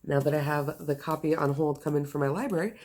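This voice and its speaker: A woman with a low-pitched voice talks through book picks in a casual vlog style. Her delivery is enthusiastic and emphatic, like a host recommending favorites to viewers. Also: quick pace, darkish tone.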